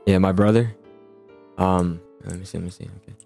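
A man's voice: low voice, fairly even intonation, a little fast, gravelly, mellow. A young man chatting casually in an improvised game roleplay, rambling and repeating his phrases with stammering starts. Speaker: host of a gaming roleplay. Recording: music bed under the voice. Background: background music.

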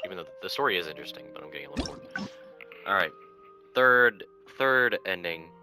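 A man giving casual, low-key gameplay commentary, thinking aloud as he goes. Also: lively intonation, brisk, gravelly, bright, forceful tone. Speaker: gaming streamer. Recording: game music behind the voice.

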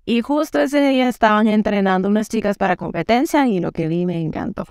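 A woman in her thirties from Guatemala, calmly telling her story as an interview guest. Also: mid-range voice, fairly quick, clean tone, no echo, studio quality. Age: 30s. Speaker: guest, figure skating coach. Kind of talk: interview answers. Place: studio set. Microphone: lapel mic.

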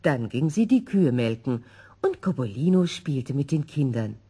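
A woman reading a children's story in an expressive, scripted narrating style. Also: very deep voice, normal speed, slightly rough.

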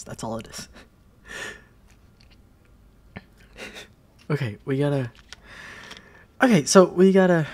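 Male actor for bashful young college man with a crush, roleplay audio. Unhurried, halting delivery, very animated pitch. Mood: giddy, flustered. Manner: halting, flustered stammering with nervous laughs.

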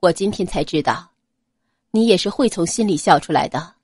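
A woman voicing a gentle, earnest young male prince in an audiobook, speaking softly and steadily in a lowered voice. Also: lively intonation, normal speed, breathy edge, no echo, studio quality. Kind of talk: audiobook character voice.

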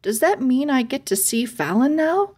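A woman's voice: high voice, very animated, normal speed, a little mellow, husky, pitched above the performer's own voice, exaggerated voice. A woman voicing a brave, earnest young boy hero in a children's fantasy story.

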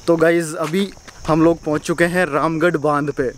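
A young man vlogging outdoors in casual chat, excitable and often laughing, filmed on a handheld action camera. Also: fairly high pitch, normal speed, noisy background. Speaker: moto vlogger. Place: outdoors on a windy hillside by a dam. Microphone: action camera held at arm's length.

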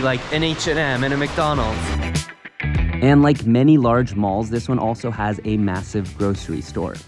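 Casting: man in his 30s, travel vlogger, upbeat and curious, handheld camera sound, soft music underneath. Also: on the high side. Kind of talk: travel vlog with voice-over narration. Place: large indoor shopping mall. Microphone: action camera held at arm's length.

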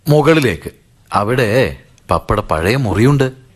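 An actor playing a gentle, dutiful grown son and father in a radio drama, explaining things patiently. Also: on the low side, animated, slow, husky, full character voice. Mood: fatherly.

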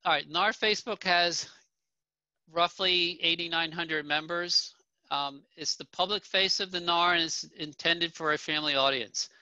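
A man's voice: a little high, sharp tone. A middle-aged man presenting slides at an online association town hall, plain-spoken with frequent ums and restarts. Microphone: video call webcam. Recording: dull, dry video call audio.

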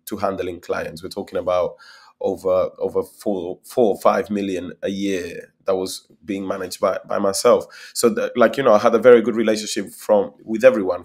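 Young guy from spain on a webcam call, lowish voice, rambles and repeats words. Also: darkish tone. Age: young adult. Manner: talkative, repeats words while thinking. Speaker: guest, company founder. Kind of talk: video call interview. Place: small room at home, indoors. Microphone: laptop webcam mic on a video call.

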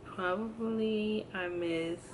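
A woman in her early twenties chatting casually to camera, answering a list of questions in a relaxed, hesitant way with many pauses and restarts. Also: medium pitch, noisy background. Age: 20s. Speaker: vlogger. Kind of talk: casual tag video chat. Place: indoors at home, in front of a backdrop. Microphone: camera framed close, seated.

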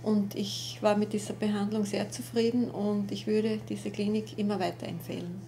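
A woman in her fifties speaks softly as a patient. Her voice is warm and mellow, picked up from a distance. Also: mid-range voice, flat delivery, normal speed, slight background noise. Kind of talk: interview testimonial. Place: office, indoors.

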